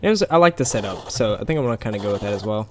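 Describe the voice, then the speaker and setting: A man's voice: on the low side, lively intonation, rapid-fire, darkish tone. A man with a scratchy, tired voice, talking casually through a game and rambling with plenty of fillers. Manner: rambling, with many fillers and small laughs. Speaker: gameplay commentator.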